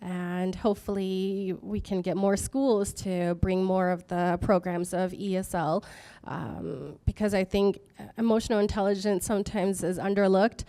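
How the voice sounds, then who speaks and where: Woman founder, clear resonant tone, earnest and hesitant delivery at a panel. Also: medium pitch, little pitch movement, warm tone. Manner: earnest, halting with many fillers. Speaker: startup founder. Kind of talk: personal story at a talk. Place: office event space with audience.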